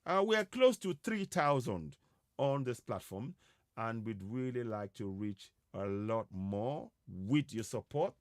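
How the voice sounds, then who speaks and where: Slow, halting man hosting. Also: mid-range voice, big pitch swings, no echo, clean studio sound. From Yorubaland, West Africa. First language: Yoruba. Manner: halting, repetitive, with many hesitations. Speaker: host of an online broadcast. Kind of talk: live monologue to viewers, advocacy talk.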